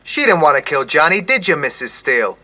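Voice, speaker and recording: Bright-voiced cocky private eye. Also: male voice, on the high side, very animated, a little fast, full character voice. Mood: smug, accusing. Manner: smug, slangy. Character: cocky, wisecracking hardboiled male private eye. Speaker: lead detective and narrator. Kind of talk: parody of 1950s radio noir narration and dialogue.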